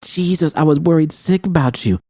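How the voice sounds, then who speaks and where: A man voicing a distraught, defiant woman in an audiobook story, speaking anxiously and haltingly. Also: a little high, dark tone, breathy edge, no room sound, clean studio sound, pitched above the performer's own voice. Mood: worried relief. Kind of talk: audiobook character voice.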